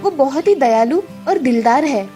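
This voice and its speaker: A woman's voice: high voice, lively intonation, moderate pace, dark tone, faint music behind. A woman voicing a kindly old forest grandmother in a children's cartoon story. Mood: gentle, caring.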